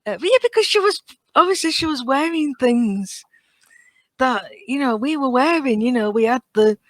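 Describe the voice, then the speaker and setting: A British woman in her thirties chatting casually and telling stories in an animated, chatty way. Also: high voice, moderate pace, no room sound. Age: 30s. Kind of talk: casual conversational chat.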